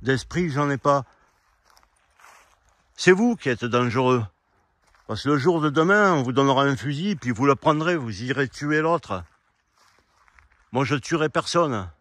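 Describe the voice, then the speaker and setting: A man giving a rambling, argumentative opinion monologue, speaking directly to his listeners in an indignant way and halting to search for words. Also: mid-range voice, expressive, fairly bright tone, no echo. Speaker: amateur video commentator. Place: quiet spot outdoors.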